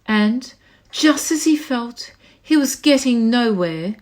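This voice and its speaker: Lady reading a kids book out loud, high voice, nice and slow, expressive. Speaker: storybook reader. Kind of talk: children's story read aloud, expressive.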